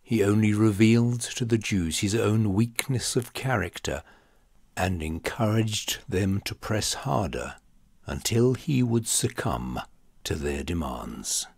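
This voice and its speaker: A man reading scripture as a scripted audiobook narration, in a deliberate, dramatic storytelling manner. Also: fairly low pitch, animated, gravelly, darkish tone, husky, no echo, clean studio sound. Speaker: audiobook reader.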